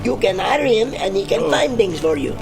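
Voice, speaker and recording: A man playing an excitable, naively cheerful foreign doctor who muddles idioms in an old-time radio detective comedy. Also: high voice, moderate pace, creaky, music in the background, heavily acted, rough recording. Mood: delighted. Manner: effusive, muddles English idioms.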